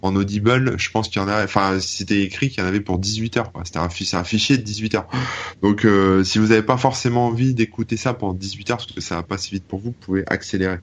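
A man's voice: fairly low pitch, fairly even intonation, quick pace. Rambling, blunt Frenchman on a podcast. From northern France. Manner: rambling, many fillers and restarts, blunt opinions. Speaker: podcast co-host. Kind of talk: casual chat.

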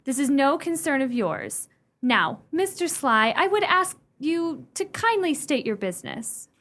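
A woman acting a wealthy, genteel and excitable widow in a radio play, with theatrical period speech. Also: sits high, unhurried, exaggerated voice. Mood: prim, concerned. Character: wealthy, excitable widowed society lady, gracious then frantic.

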